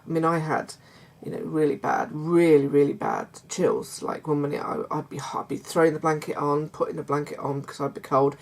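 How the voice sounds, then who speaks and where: A middle-aged woman, chatting casually to her viewers in a croaky voice, hesitant with many fillers and restarts. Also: deep voice, little pitch movement, a little fast, hardly any pauses. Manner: warm confiding tone toward viewers. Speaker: vlogger. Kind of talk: casual chat to camera.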